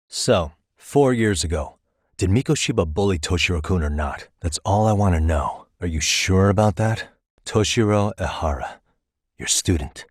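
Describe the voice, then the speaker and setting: An actor playing a composed, probing private investigator in a video game, speaking in a measured, casually wry way. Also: male voice, low voice, husky, dry recording, clean studio sound. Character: composed, probing male private investigator, adult, dryly confident. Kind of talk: naturalistic game dialogue acting.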